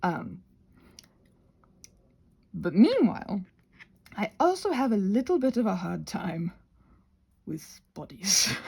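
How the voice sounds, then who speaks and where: Animated woman rambling playfully, slightly husky. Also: mid-range voice. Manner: playful, self-deprecating, often giggly while talking; digressive, thinking aloud while working with hands. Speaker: craft and ASMR channel creator, host. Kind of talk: casual rambling craft chat.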